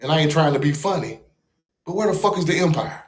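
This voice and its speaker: A man's voice: fairly high pitch, expressive, quick pace. American guy going off on a live stream, mocking and cussing, echoey room. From United States. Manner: mocking, profane, confrontational. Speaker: livestream host. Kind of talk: profane livestream rant. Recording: roomy echo.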